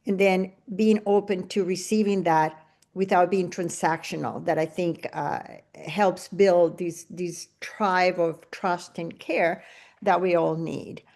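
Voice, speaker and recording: A woman's voice: lowish voice, fairly even intonation, slow, a little mellow. A middle-aged woman from Venezuela with a Venezuelan Spanish accent, hosting a podcast interview and reflecting in long, winding sentences. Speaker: podcast host. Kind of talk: casual interview conversation.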